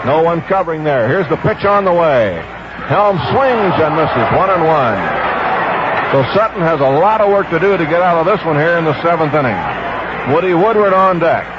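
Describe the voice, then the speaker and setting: Higher-voiced male baseball announcer, roaring crowd. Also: lively intonation, normal speed. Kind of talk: live radio sports commentary. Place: outdoor ballpark broadcast. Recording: dull vintage radio, loud crowd and noise. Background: loud stadium crowd.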